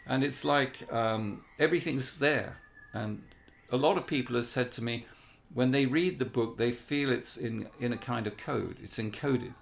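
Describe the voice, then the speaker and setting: A man's voice: mid-range voice, normal speed, gravelly. A man giving an earnest, explanatory spiritual talk as an author presenting his own book. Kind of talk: spiritual talk with passages read aloud.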